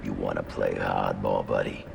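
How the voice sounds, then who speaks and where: Gravelly, mouthy street crook. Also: male voice, very deep voice, little pitch movement, moderate pace, noisy background, heavily acted. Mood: cocky, defiant. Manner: streetwise, slangy, combative. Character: mouthy, defiant low-life male crook under police questioning. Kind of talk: video game voice acting.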